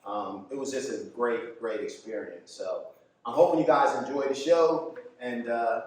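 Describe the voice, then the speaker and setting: A man giving an informal live introduction to a puppet show as the artist running it, speaking in a halting, appreciative way. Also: mid-range voice. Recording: echoey room, distant mic, music behind.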